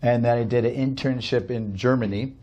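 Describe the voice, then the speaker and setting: Middle-aged man from Canada, upbeat interview guest, rambling talk with fillers, mid-pitched voice. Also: steady tone, a little fast, a little mellow, dull audio. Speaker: guest, cafe owner. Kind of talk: casual storytelling interview.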